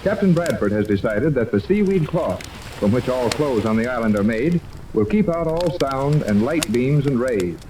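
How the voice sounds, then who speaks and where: A man's voice: medium pitch, animated, normal speed, distant mic. A man delivering dramatic 1930s radio serial narration on a noisy old recording. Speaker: announcer.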